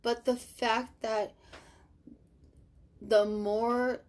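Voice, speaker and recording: A woman's voice: fairly high pitch, level tone, very slow, a little mellow. A woman in her thirties hosting a casual live stream from home. She reads viewer comments aloud in an upbeat, chatty way with many fillers. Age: 30s. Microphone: webcam or phone on a live video.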